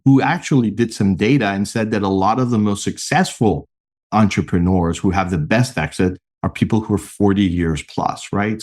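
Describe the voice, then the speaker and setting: A middle-aged man from Mexico, a podcast guest and founder, explaining his work in an animated, earnest way with a few restarts. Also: fairly low pitch. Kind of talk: conversational interview answers.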